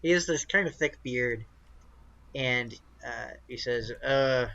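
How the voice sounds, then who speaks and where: A man runs a tabletop game, improvising scenes in a casual, halting way. His voice sits at an average pitch, and the recording is slightly dull. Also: gravelly, bright, forceful tone. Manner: halting, casual, searching for words. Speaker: game master.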